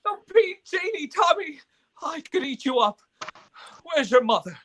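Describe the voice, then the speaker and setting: A man's voice: extremely high pitch, big pitch swings, a little slow, background noise, exaggerated voice. A man playing an emotional, stammering family man in a live radio play, speaking with breathless urgency. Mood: overjoyed, giddy. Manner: stammering, impassioned, breathless pleading. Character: frantic, despairing then overjoyed family man.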